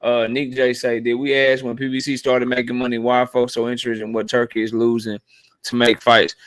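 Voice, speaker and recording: A man hosts a live boxing debate stream, making his case in a blunt, argumentative way and swearing freely. He speaks at a medium pitch. The recording is dry, with no room sound. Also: flat delivery, fairly quick, fairly bright tone, no room sound.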